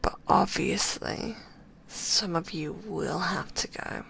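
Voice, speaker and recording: A woman voicing a possessive, sweetly manipulative female magician, whispering in a soft, cooing and softly condescending way. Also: on the low side, slow pace, gravelly, slight background noise, heavily acted, rough recording. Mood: doting. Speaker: ASMR roleplay performer. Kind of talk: whispered ASMR roleplay.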